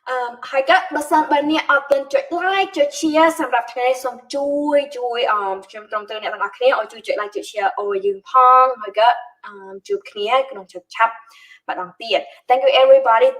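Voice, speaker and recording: A high-pitched woman in her thirties hosts a relaxed live-stream chat over a video call. She talks quickly and keeps breaking into laughter. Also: low-quality recording. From Cambodia. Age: 30s. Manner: giggly, laughs often. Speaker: host.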